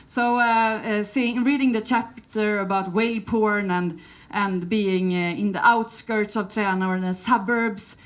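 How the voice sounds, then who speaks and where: A woman's voice: mid-range voice, steady tone, unhurried, hardly any pauses. A woman from Sweden with a Swedish accent, giving a conference presentation in English, hesitant, with many fillers and restarts, and a light humour. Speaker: conference speaker.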